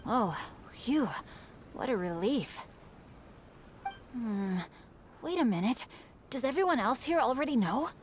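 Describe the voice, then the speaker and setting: A woman's voice: a little high, expressive, slow pace, pauses often, background noise. A woman voicing a refined, polite and proud young male author with a formal, eloquent manner in an anime-style video game. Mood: relieved.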